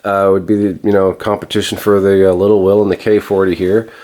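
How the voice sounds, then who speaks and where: Male hobby host, lowish pitch, off-the-cuff and rambling delivery, dryly understated. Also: level tone, fairly quick, mellow. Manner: rambling, hesitant, informal. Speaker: host of a CB radio hobby channel. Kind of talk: casual product test walkthrough.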